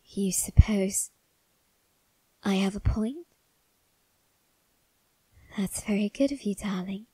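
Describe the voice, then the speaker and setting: A woman voicing a suave male vampire husband in an ASMR roleplay, coaxing softly and often whispering with quiet menace. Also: medium pitch, dry recording, rough recording. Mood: coaxing, self-justifying. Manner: slow, soft, breathy coaxing, often whispered.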